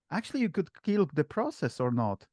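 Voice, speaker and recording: A man with a Polish accent hosting a relaxed group video call, talking haltingly with many fillers and restarts. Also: on the high side, dry recording, clean studio sound. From Poland. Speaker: meetup host. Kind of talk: casual online group chat.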